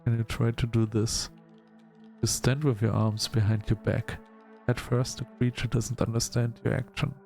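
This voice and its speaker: A man hosting a gaming video, reading story text aloud in a halting, measured storytelling delivery. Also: mid-range voice, little pitch movement, vocal fry, a little mellow, soft background music. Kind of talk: let's-play narration, reading game text aloud.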